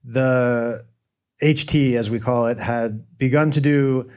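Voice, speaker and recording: American man, interview guest, mid-pitched, loose and thoughtful, plenty of ums. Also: steady tone, takes it slowly. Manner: thoughtful, hedging with many fillers. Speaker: guest, data journalist. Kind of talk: casual interview answers.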